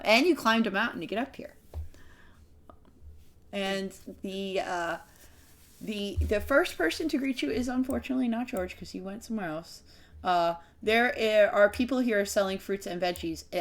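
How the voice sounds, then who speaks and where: A woman narrating as game master of a tabletop game, casual and halting. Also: medium pitch, animated.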